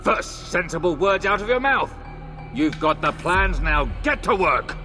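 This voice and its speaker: A man's voice: high-pitched, lively intonation, a little slow, pushed and bright, soft background music, full character voice. A man playing a harsh, impatient overseer in a video game, commanding and full of contempt. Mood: contemptuous, threatening. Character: harsh, impatient male overseer bullying a worker. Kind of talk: video game cutscene acting.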